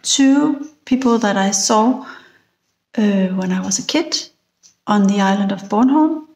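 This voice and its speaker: A woman, a ceramic artist answering interview questions slowly and thoughtfully from her phone, pausing with fillers. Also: mid-range voice, expressive, darkish tone. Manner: slow, thoughtful, with pauses and fillers. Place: indoor room. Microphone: phone held close, selfie video.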